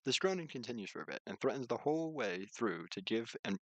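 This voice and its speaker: A man's voice: medium pitch, very animated, fast, no echo. A man streaming a visual novel, reading its narration aloud with casual commentary and sometimes stumbling over lines and rereading them. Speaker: video game streamer.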